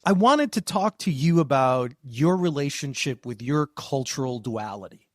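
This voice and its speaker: A man's voice: medium pitch, lively intonation, moderate pace, dry recording, studio quality. An American man of Colombian parents, hosting a podcast and asking earnest, personal questions drawn from his own life. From United States, of Colombian parents. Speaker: podcast host. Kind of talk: interview questions.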